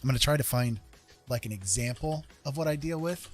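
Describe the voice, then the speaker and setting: A man's voice: mid-range voice, a little fast, faint music behind. A man livestreaming in a casual, conversational way, explaining things to his viewers. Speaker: streamer.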